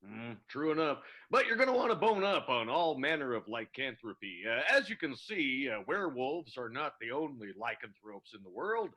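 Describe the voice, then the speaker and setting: A folksy werewolf teacher, played by a man, with a bright but husky voice that swings around in pitch. He explains things patiently and chattily, in radio-play style with music behind him. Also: sing-song, normal speed, few pauses. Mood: patient, instructive. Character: male werewolf, folksy patient mentor of lycanthropy. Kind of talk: improvised radio play acting.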